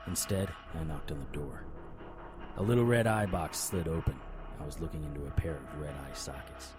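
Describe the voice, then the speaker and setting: An actor playing a brooding, wry investigator who narrates a noir-style audio drama in a dry, hard-boiled manner. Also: male voice, rumbling low, moderate pace, music in the background. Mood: grim, wry. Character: brooding, wry, stubborn male supernatural investigator. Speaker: narrator and lead character.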